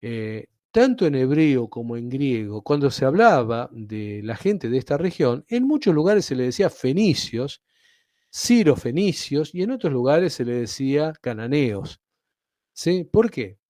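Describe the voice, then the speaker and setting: A man's voice: medium pitch, big pitch swings, measured pace, dark tone, no echo, clean studio sound. A man with an Argentine accent giving a Bible teaching, explaining step by step and often checking in with listeners. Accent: Argentine Spanish. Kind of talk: teaching sermon.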